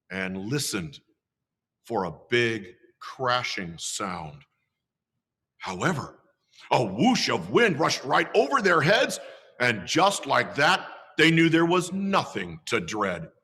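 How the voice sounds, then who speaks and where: Santa storyteller for children, unhurried and bright, expressive reading. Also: male voice, breathy edge, in a large hall. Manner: slow, highly expressive.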